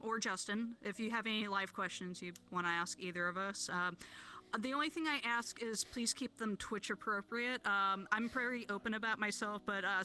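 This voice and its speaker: A woman's voice: medium pitch, sharp tone, rough recording. A woman co-hosting a live stream, asking chat for questions in a friendly, teasing way.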